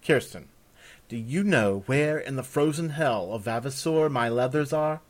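A man's voice: mid-range voice, animated, a little slow, voice pushed up. A man playing a blunt, headstrong woman of rank who talks informally and demandingly in a fantasy story. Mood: exasperated. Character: blunt, headstrong young noblewoman, easily exasperated. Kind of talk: fantasy audiobook character.

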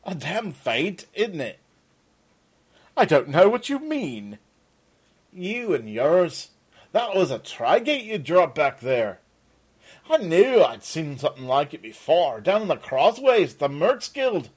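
A man voicing a hot-tempered young sergeant who shouts and exclaims, in an audiobook reading. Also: a little high, big pitch swings, moderate pace, slightly rough, voice pushed up. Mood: angry accusing. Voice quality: raised, exclaiming.

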